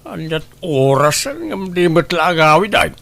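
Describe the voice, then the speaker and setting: A man playing a menacing, violent father in a radio drama, with a threatening, drawn-out delivery. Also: a little high, very animated, gravelly, a bit bright, full character voice. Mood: menacing, suspicious. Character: menacing, violent father hunting his runaway child. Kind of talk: Ilocano radio drama acting.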